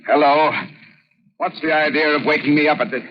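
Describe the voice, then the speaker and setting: An actor playing a groggy, uneasy company director who mutters to himself in a 1930s radio drama. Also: male voice, on the high side, fairly even intonation, fairly quick, close to an everyday voice. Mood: groggy, shocked. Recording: muffled, reverberant, crackly 1930s radio recording.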